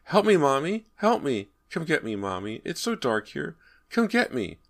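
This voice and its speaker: A man's voice: a little high, big pitch swings, moderate pace, creaky, pitched above the performer's own voice. A man voicing a frightened little ghost boy in a tiny, childlike voice, pleading and wailing for his mother in a horror story. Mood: terrified pleading.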